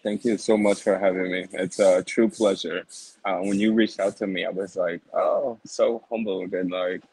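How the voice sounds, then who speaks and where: A deep, gravelly man speaks as a podcast guest. His voice is husky, and he answers politely with short affirmations and easy laughter. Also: steady tone, normal speed, darkish tone, rough recording. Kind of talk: casual podcast interview.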